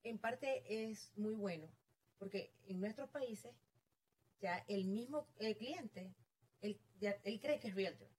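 A woman's voice: mid-range voice, slow, darkish tone, husky. Casting: middle-aged Venezuelan-accented woman, mid-range voice, chatting informally as an interviewed guest. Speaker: interview guest, real estate agent. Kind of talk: casual conversational storytelling.

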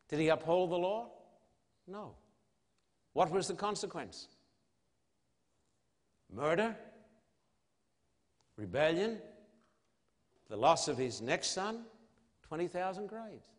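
A man preaching an evangelistic sermon in a solemn, emphatic manner, with dramatic pauses and rhetorical questions. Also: sits high, sing-song. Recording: long hall reverb.

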